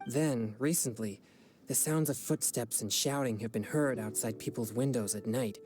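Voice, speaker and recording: A man's voice: medium pitch, normal speed, close to an everyday voice. Earnest, formal young exorcist, played by a man, music behind. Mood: serious, explaining. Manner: formal, courteous, apologetic. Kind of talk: video game voice acting.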